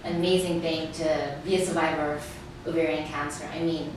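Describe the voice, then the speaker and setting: A woman's voice: low voice, little pitch movement, normal speed. A woman hosting a casual sit-down interview, speaking in an effusive, complimentary way. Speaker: interviewer and host. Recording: echoey room, distant mic, faint noise.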